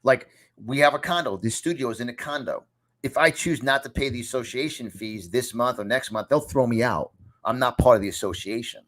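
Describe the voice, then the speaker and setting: A man's voice: mid-range voice, brisk, a bit bright. Emphatic man in his fifties with a New York accent. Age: 50s. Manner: emphatic, repeats phrases for effect. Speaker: success coach. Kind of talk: motivational lesson to camera. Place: small home studio in a condo.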